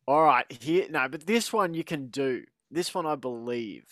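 A man's voice: medium pitch, lively intonation, a little slow, a bit bright, no room sound, studio quality. A man hosting a casual podcast chat, rambling and teasing his co-hosts with lots of laughter. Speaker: podcast host.

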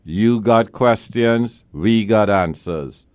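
Lawyer guy hosting his own advice show, low voice, dry studio sound, hammers his points home. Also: slow pace, darkish tone, clean tone, dry recording. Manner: emphatic, blunt, repeats key phrases. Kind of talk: call-in legal advice show.

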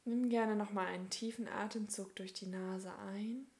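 A woman leading a guided yoga relaxation as instructor, speaking calmly and soothingly in short phrases with long pauses. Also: medium pitch.

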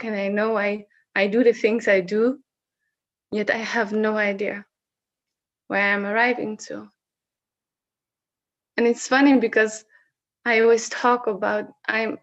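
A woman from the Netherlands with a Dutch accent, sharing personal reflections on a video call in a slow, searching way with frequent restarts. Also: medium pitch, highs cut off. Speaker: session facilitator.

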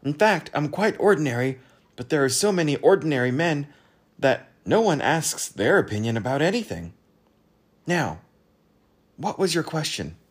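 A man voicing a proud, absent-minded ordinary fellow who talks brightly and eagerly. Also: a little high, very animated, normal speed, husky, voice pushed up. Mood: confiding. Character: chirpy, absent-minded ordinary man posing as four oddities.

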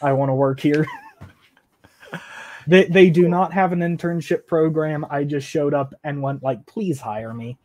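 A man's voice: a little high, measured pace, darkish tone. A young man, an engineering student, answering interview questions casually and hesitantly, with many fillers. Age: young adult. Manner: hesitant, filler-heavy, laughing at himself.

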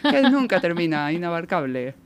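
A woman's voice: lowish voice, very animated, normal speed, noisy background. A woman from Spain with a neutral Spanish accent, a guest talking in a casual radio chat.

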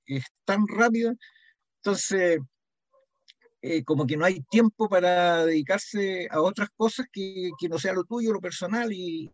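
A man's voice: sits high, no room sound. An older man with a Chilean accent, reminiscing conversationally as an interview guest and explaining things through examples, often checking that he is followed. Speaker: interview guest, local heritage association member.

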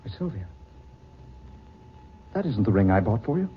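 An actor playing a genteel gentleman haunted by a rival, in a theatrical 1940s radio manner. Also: on the low side, big pitch swings, moderate pace. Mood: puzzled. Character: suave gentleman turning frantic, haunted by a rival. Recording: muffled, hissy old radio recording.

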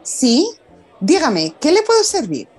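A woman's voice: sits high, wildly swooping pitch, slow pace, darkish tone, soft background music, exaggerated voice. A woman playing Manola, a chatty, sly bar waitress, in a radio soap. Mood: eager, welcoming. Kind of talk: Spanish-language radio soap acting.

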